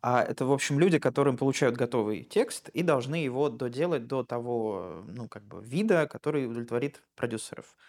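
A man's voice: mid-range voice, animated, a little fast, few pauses, darkish tone. A man hosting an interview conversationally, asking questions and clarifying things for listeners. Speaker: interviewer, host.

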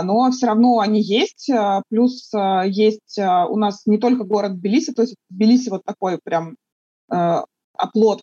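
A woman from Russia answering interview questions in a thoughtful, halting way, with many fillers and restarts. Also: mid-range voice, dark tone, husky, no echo, dull audio.

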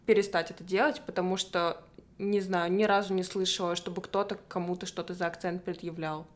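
A woman's voice: on the low side, steady tone, fairly quick. A young woman hosting a casual conversation, framing long thoughtful questions. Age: young adult. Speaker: podcast host.

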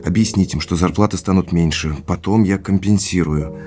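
A man's voice: deep voice, normal speed, a little mellow, faint music behind, lightly acted. An actor playing an earnest, determined businessman husband in a naturalistic audio drama. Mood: resolute.

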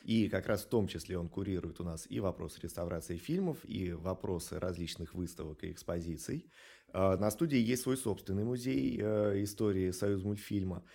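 A man speaking as a studio director in an interview, explaining things in a measured, articulate way with rhetorical emphasis. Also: deep voice, vocal fry, warm tone.

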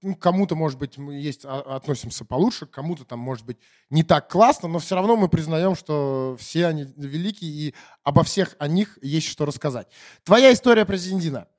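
A man hosting a podcast in casual, chatty style, with somewhat halting phrasing. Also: on the high side, expressive, a little mellow. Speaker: podcast host.

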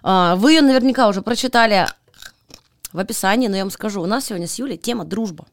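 A woman's voice: medium pitch, fast talker, a little hiss or hum. A woman hosting a podcast in casual chat, bubbly and playful. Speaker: podcast host.

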